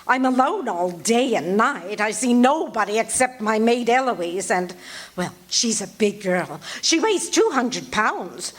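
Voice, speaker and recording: A woman's voice: on the high side, big pitch swings, unhurried. A woman playing a frantic bedridden wife. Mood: anxious. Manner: frantic, stammering, breaks into sobs. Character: frightened bedridden wife, middle-aged, anxious and demanding. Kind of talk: live radio play reading.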